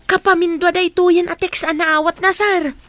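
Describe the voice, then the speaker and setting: A woman playing a chatty, fussing, protective older household woman in an audio drama, exclamatory and animated. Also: very high-pitched, moderate pace, soft background music. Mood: alarmed, insistent.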